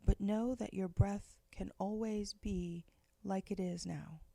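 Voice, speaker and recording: A woman leading a guided meditation, giving slow, soft, gentle instructions with long pauses. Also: medium pitch, steady tone, vocal fry, no echo.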